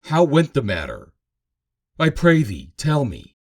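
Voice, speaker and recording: A man's voice: mid-range voice, huge pitch swings, takes it slowly, pitched above the performer's own voice, heavily acted. A man voicing a stern, emphatic ancient Hebrew king in a scripture reading. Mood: urgent questioning.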